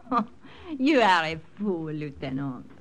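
An actress playing a cool, soft-spoken woman who mocks her husband, in an old radio drama. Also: deep voice, very animated, takes it slowly, exaggerated voice. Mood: mocking. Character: French woman, cool and teasing.

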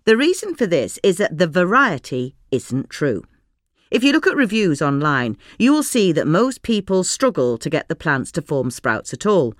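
Woman narrating with lively, varied intonation. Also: on the low side, normal speed, no room sound, clean studio sound. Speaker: narrator reading a magazine article. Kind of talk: scripted narration.

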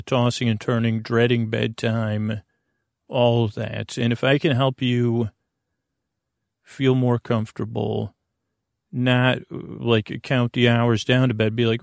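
Creaky-voiced man rambling soothingly. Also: medium pitch, a little slow, no room sound, clean studio sound. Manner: meandering and hesitant, with repeated words and tangents; lulling, gentle reassurance. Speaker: host of a bedtime sleep podcast. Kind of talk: rambling, soothing bedtime monologue.

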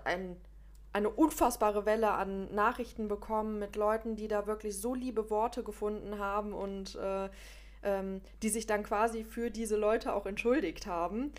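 A woman's voice: mid-range voice, fairly even intonation, normal speed. A woman co-hosting a podcast in casual chat, with a regional German dialect and a speech impediment, talking hesitantly with many fillers. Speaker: podcast co-host.

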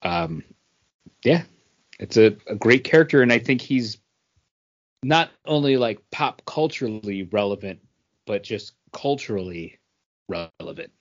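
A man co-hosting a podcast, chatting casually in a halting, earnest, conversational way. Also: fairly low pitch, lively intonation, lo-fi sound. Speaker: podcast co-host. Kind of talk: casual chat, personal reminiscing.

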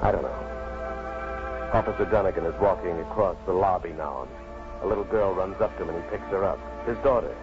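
An actor playing a sober, grave storyteller, narrating a 1950s radio drama in a deliberate way. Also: male voice, sits low. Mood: reflective. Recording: muffled radio recording, music behind.

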